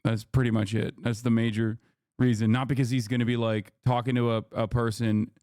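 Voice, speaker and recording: A man's voice: medium pitch, little pitch movement, a little fast, darkish tone, dry recording, studio quality. A man streaming live, ranting to his chat in a heated, profane, rambling way.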